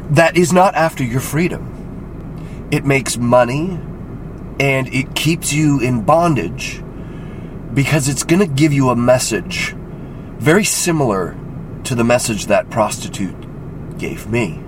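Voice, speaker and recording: Gravelly-voiced man with a cold, loud traffic behind. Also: medium pitch. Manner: earnest, rambling, many "right?" asides. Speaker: podcast host. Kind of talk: reflective faith podcast monologue. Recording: loud road and traffic noise.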